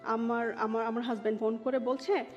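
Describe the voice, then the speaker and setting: Higher-pitched Bangladeshi woman in reverberant dull audio. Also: little pitch movement, a little fast. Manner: mixes English words into Bengali. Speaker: interview guest telling her life story. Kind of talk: conversational personal storytelling. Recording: dull sound, long reverb, background music.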